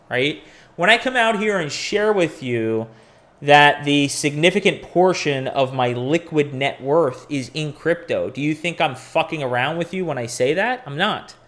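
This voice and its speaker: A man's voice: fairly high pitch, a bit bright. A man in his forties hosting a crypto live stream, talking casually and candidly, rambling with profane asides. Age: 40s. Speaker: crypto podcast host.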